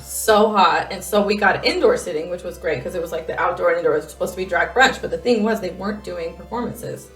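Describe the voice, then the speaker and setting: A young woman co-hosting a podcast in casual, slangy chat, telling stories in an animated, bantering way. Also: lowish voice, fast, hardly any pauses. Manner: slangy, laughs easily, banters. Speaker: podcast co-host. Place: small home garage studio. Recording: roomy echo, faint music.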